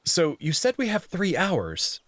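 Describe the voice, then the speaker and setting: A man's voice: high-pitched, huge pitch swings, measured pace, a bit bright, no echo. A man reading a visual novel aloud in first person, moving between narration and dialogue. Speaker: narrator and player reader.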